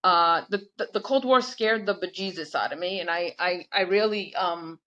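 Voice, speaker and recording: Woman in her sixties, from the US, interview guest, measured thoughtful speech, dull muffled recording. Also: on the low side, pushed and bright. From United States. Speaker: guest, nurse practitioner. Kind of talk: conversational podcast interview. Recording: dull lo-fi remote audio.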